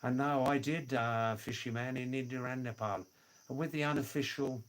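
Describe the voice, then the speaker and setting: A man's voice: mid-range voice, steady tone. An older man hosting a casual livestream from his home in the Himalayas, answering viewer comments, repeating words and chuckling. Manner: slow, drawn-out, repeats words, chuckles "hoo hoo hoo". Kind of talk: casual live chat.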